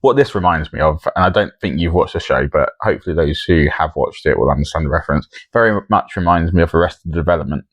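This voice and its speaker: A man's voice: rumbling low, fast talker, hardly any pauses, no echo. A man co-hosting a comedy podcast, chatting casually and keeping score. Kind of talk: casual comedy panel chat.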